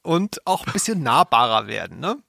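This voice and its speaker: Male co-host, mid-pitched with plenty of vocal fry, casual and drily joking, close dry sound. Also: very animated, normal speed, sharp tone, no room sound. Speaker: podcast co-host. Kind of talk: casual chat.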